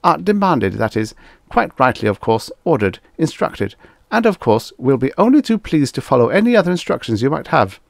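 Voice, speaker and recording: A man's voice: on the low side, big pitch swings, a little fast, vocal fry, dry recording, clean studio sound, voice pushed up. A man voicing a pompous, easily panicked ambassador, barking and indignant in a science fiction audiobook. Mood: nervous, fawning. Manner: barking, indignant, self-important.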